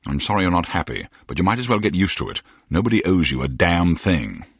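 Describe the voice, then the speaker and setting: A veteran secret agent for a spy game, an older mentor with a very low, husky voice. He is wry and dry-witted, with an expressive swing in his delivery. The sound is close and dry. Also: brisk, dry recording, heavily acted. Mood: stern, fatherly. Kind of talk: video game voice acting.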